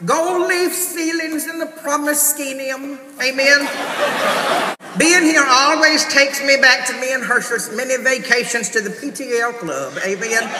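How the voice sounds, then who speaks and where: A man playing a prim, scandalized church lady usheress, delivering a pompous mock-sermon comedy monologue as an awards host. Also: very high voice. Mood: pious, grandiose. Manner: pompous, slow and emphatic, punctuated with amens. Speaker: comic host of a theater awards show. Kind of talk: theatrical stand-up monologue in mock-sermon form. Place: large theater stage before an audience. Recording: echoey theater hall, distant noisy recording. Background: audience noise.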